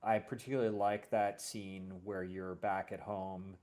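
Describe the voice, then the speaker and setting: A man, a show host and writer, chatting casually in a halting way, repeating words and often adding "you know". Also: low voice, flat delivery, darkish tone. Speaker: series creator and show host. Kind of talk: casual conversation about a fiction series.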